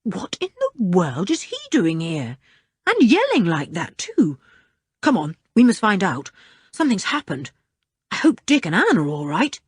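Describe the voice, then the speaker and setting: A calm, stern boy who leads the group, read by a woman, level and commanding with a scornful edge. Her voice is husky and dry, with lively swings in pitch. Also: a little slow, no echo, heavily acted. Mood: urgent. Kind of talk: audiobook character reading.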